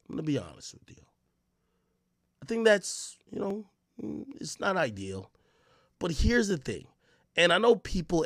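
A man's voice: mid-range voice, pushed and bright, dry recording, studio quality. A man hosting an unscripted reaction podcast, talking in a brash, slangy, profane and emphatic way. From Jamaica. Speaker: podcast host and commentator. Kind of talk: unscripted reaction commentary, casual streamer talk.

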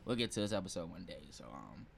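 A man's voice: low voice, very animated, quick pace, creaky, slight background noise. An American man hosting a podcast in a casual, slangy, chatty way. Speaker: podcast host. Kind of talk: casual chat.

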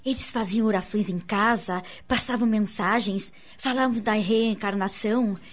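A woman's voice: mid-range voice, normal speed, lightly acted. A woman with a Brazilian accent playing an anxious, insecure wife in a radio soap opera, earnest and formal in her melodramatic delivery. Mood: hesitant, curious. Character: anxious, insecure young wife and mother, pleading. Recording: dull lo-fi radio drama, music underneath.

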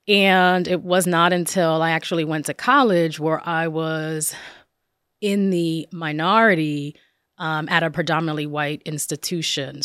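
Middle-aged New woman, lowish voice, dry close sound; upbeat, chatty guest. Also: clear, resonant tone, dry recording. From northern New Jersey. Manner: warm, enthusiastic, lots of ums. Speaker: university director, guest. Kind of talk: personal storytelling in conversation.

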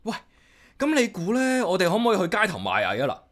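An actor playing a solitary, caring young office worker with a Hong Kong accent, narrating and chatting casually in a radio drama. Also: male voice, high voice, huge pitch swings, fast, a bit bright, full character voice. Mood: playful. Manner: casual, talks playfully to himself and pet. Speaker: lead character and narrator. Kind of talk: radio drama dialogue and inner-monologue narration.

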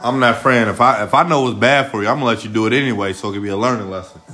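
A young American man with a slightly raspy voice, co-hosting a podcast in casual, slangy, teasing banter. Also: fairly low pitch, rapid-fire, bright, forceful tone, faint music behind. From United States. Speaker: podcast co-host.

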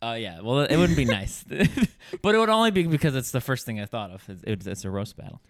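Laid-back male comedian, booming voice. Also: fairly quick, no room sound. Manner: laid-back, agreeable, deadpan jokes. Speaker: comedian guest. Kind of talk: casual comedy podcast banter.

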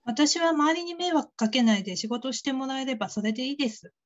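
A woman's voice: high-pitched, brisk, lo-fi sound, natural voice. A woman playing a critical call-centre worker in a radio drama, blunt and coolly polite. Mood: disapproving. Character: curt, critical female call-centre colleague. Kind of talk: Japanese radio drama acting.

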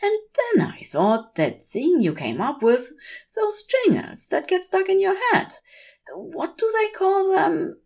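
Audio story role: fussy, gentle male angel , done by a woman, high-pitched, prim and eager to please. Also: sing-song, slow, exaggerated voice. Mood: proudly explaining. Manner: prim, fluttery, anxious to please.

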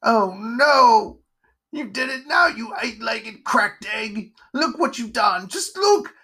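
Storybook pumpkinhead: high, wailing, nervous wreck, melodramatic and self-pitying. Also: lively intonation, slow pace, bright, forceful tone, breathy edge, heavily acted. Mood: distraught. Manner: wailing, moaning, melodramatic outbursts. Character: trembling, whiny, self-pitying pumpkinhead creature prone to fits.

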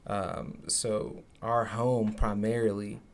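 Young male podcast co-host from Zimbabwe, lowish voice, earnest and reflective. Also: level tone, slow pace, slight background noise. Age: young adult. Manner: earnest, reflective, many fillers. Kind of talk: casual podcast conversation.

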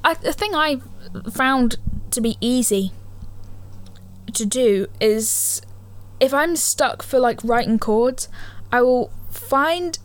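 Teen girl rambling on her podcast, bit gravelly from a cold, higher voice. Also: slow. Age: teens. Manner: rambling, self-correcting, chatty. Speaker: podcast host. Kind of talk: casual solo podcast chat. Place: living room at home. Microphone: handheld studio mic.

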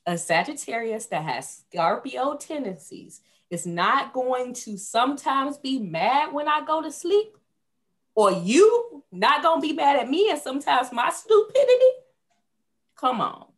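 A woman's voice: sits high, big pitch swings, a little slow. A young woman from Indiana, a podcast guest, arguing her point in an emphatic, teasing way. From Indiana, USA. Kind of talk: casual conversational debate.

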